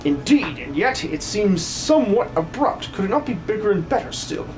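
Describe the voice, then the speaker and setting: A man voicing a refined, formal villager with genteel, measured politeness in a hobby game dub. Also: high-pitched, very animated, rough recording. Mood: thoughtful, pleased. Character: prim, refined aristocratic villager, politely enthusiastic.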